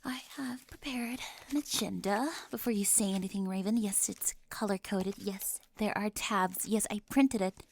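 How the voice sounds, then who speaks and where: A woman's voice: medium pitch, normal speed, vocal fry, few pauses, slight background noise, close to an everyday voice. A woman playing a prim, hyper-organized popular teen girl in an ASMR roleplay, fussy, officious and over-precise. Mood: bossy, fussy. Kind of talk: scripted ASMR audio roleplay.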